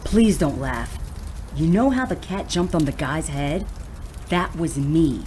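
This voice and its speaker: Middle-aged woman, stoned and bewildered, mellow lowish voice, hesitant, confiding, faint ambience, audio drama. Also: lively intonation, slow pace, a little hiss or hum. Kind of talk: audio drama acting, naturalistic dialogue.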